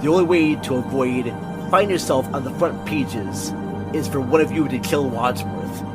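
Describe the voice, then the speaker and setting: A man playing a scheming blackmailer who narrates a murder mystery audio drama in a deliberate, dramatic manner. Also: mid-range voice, natural voice. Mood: menacing, persuasive. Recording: music bed under dialogue.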